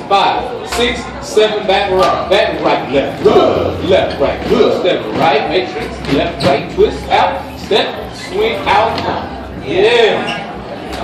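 A middle-aged man teaching a dance class, counting and calling out steps to the group and joking with them. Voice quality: low voice. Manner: counts steps aloud in a steady call, jokes with the class. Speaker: dance instructor. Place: large indoor hall with a crowd of dancers. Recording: echoey hall recorded from far off. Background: crowd noise and room ambience.

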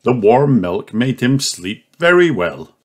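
A man's voice: mid-range voice, big pitch swings, slow pace. A man reading a children's storybook aloud as scripted narration. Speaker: storybook reader.

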